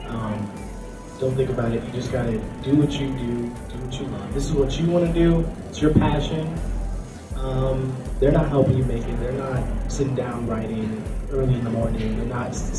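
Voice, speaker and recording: A man speaks as a panelist in a casual, encouraging way. His mid-pitched voice sounds roomy and a little far from the mic, with loud music behind it. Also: fairly even intonation, brisk. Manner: informal, encouraging. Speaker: panelist, comic artist. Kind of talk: casual convention panel talk. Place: convention panel room.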